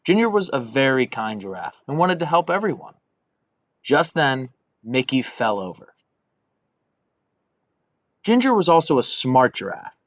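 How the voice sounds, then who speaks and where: Young male storybook reader, middle-pitched and expressive, on a dry, dull recording. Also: no room sound. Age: young adult. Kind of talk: scripted children's story reading.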